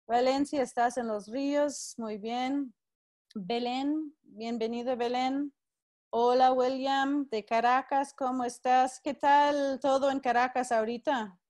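A woman runs a live online class, her voice set high as she greets people by name and gives friendly, firm instructions. The sound comes through a video call. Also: a little mellow. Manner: friendly, directive, calls participants by name. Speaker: teacher leading an online class.

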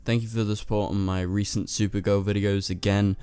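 A young man with a hoarse, worn-out voice, hosting a chatty commentary video and talking straight to his viewers. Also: on the low side, flat delivery, fairly quick. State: lost his voice. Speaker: channel host. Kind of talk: casual commentary to camera. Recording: soft music underneath.

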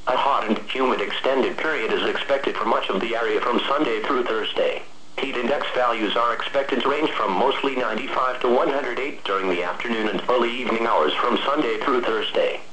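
A synthetic man's voice with an American accent reads a scripted weather bulletin as a radio announcer, in a formal, measured, impersonal way. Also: mid-range voice. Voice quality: synthetic text-to-speech voice. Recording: noisy, echoey weather radio broadcast.

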